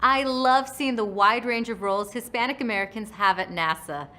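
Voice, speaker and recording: A woman's voice: a little high, moderate pace, sharp tone, faint music behind. A woman hosting a live science show, giving a scripted bilingual introduction and then interviewing a guest. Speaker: host.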